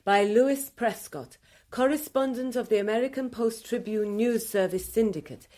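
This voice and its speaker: A woman's voice: a little high, normal speed, mellow, close to an everyday voice. Composed, formal woman played by an actress. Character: cool, formal Lebanese woman aide to guerrillas. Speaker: actor playing a guerrilla's go-between. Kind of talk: radio drama dialogue.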